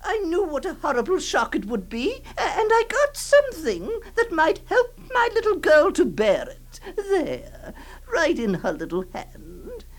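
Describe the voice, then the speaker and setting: A clingy, possessive aunt, played by a woman, speaks in a very high, sing-song voice that swoops up and down. She lingers slowly over her words, hushed and tremulous, pleading with the little girl in her care. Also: very animated, full character voice. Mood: coaxing. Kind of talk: dramatic monologue reading.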